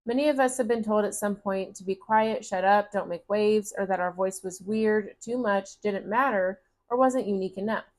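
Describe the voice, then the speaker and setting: A woman talks through a tarot reading in a casual, encouraging way, reassuring the listener as she goes. Her voice has a slight raspiness from talking a long time. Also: mid-range voice, normal speed, few pauses. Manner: gentle, encouraging, reads card text aloud. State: voice getting raspy. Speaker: tarot reader.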